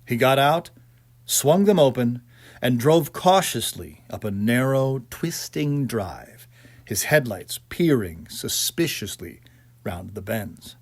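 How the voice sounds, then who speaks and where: A man reading a ghost story as scripted dramatic narration, in a theatrical, measured storytelling manner. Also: mid-range voice, sing-song. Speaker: audiobook narrator.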